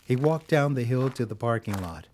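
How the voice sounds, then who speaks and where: A man's voice: medium pitch, animated, moderate pace, darkish tone, a little hiss or hum. A man reading scripted story narration as the narrator of an audio drama.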